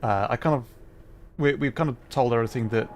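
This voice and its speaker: Male VTuber streamer: mid-pitched, chatty and rambling, casual gameplay talk with faint noise behind. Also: brisk. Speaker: livestreamer, VTuber. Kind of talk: casual gameplay commentary and chat. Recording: faint background noise, game ambience.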